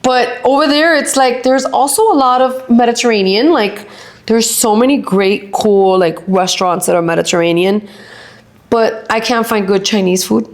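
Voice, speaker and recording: A middle-aged woman with a Miami accent, chatting on a podcast as co-host, telling stories in quick animated bursts full of "like". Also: a little high. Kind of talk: casual chat.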